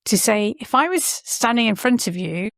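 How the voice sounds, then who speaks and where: A middle-aged woman talks in an earnest, reflective way, often pausing to restart and correct herself mid-sentence. Her voice carries a gravelly edge of fry. The recording is dry and close, with little room sound. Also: fairly high pitch, animated, no room sound. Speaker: podcast guest, science and healthcare leader. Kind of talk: reflective interview conversation.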